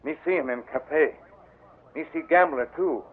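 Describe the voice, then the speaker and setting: A loyal scout companion who speaks in short, clipped broken phrases. His voice is a little husky, carried on a tinny old radio broadcast. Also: a little high, normal speed. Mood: earnest. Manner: terse, clipped broken English. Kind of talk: 1940s radio acting.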